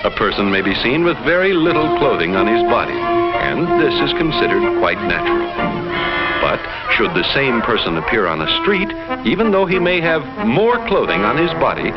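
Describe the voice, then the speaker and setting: An actor playing a detached alien explorer, reporting on human life in formal, measured 1950s educational film narration. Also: male voice, mid-range voice, close to an everyday voice. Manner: formal, measured, clinical phrasing. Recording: muffled vintage film sound, music behind. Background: loud music.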